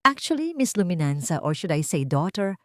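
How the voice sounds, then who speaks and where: A woman's voice: fairly low pitch, very animated, moderate pace, dry recording, clean studio sound. A woman voicing a scheming middle-aged man who sounds mockingly sweet in an audio drama story.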